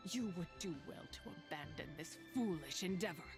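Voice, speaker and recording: An actress voicing an imperious, menacing supernatural being in a video game, speaking in haughty, solemn, archaic phrases. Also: female voice, fairly low pitch, animated, fairly quick, over music, close to an everyday voice. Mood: scornful warning.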